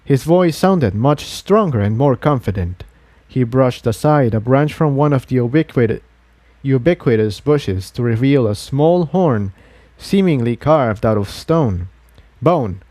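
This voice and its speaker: A man's voice: mid-range voice, lively intonation, measured pace, a little mellow, dry recording. A man reading a story aloud as a scripted narrator. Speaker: fan fiction narrator.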